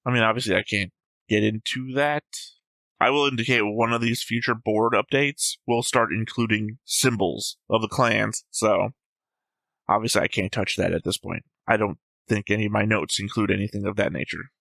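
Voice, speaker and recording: A man's voice: lowish voice, steady tone, moderate pace, vocal fry, sharp tone, dry recording. A man hosting a casual discussion who methodically reads from his notes and is careful not to give anything away. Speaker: reaction show host.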